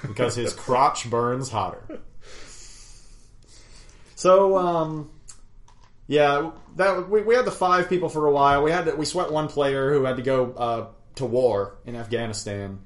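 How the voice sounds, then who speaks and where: A man's voice: mid-range voice, a little slow. Rambling man in an echoey room. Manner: rambling, chuckling, many fillers. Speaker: podcast co-host. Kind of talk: casual storytelling about a tabletop game.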